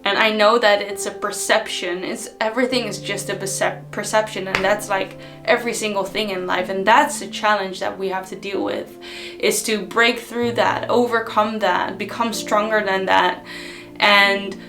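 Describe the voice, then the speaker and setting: A woman from the Netherlands, giving an unhurried, reflective motivational talk to her viewers with frequent ums. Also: medium pitch. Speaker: personal development vlogger.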